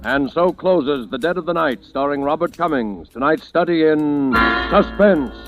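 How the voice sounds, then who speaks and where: Dramatic radio announcer over blaring music. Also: male voice, fairly high pitch, moderate pace. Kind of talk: 1940s radio sign-off announcement. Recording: dull old radio, very loud music.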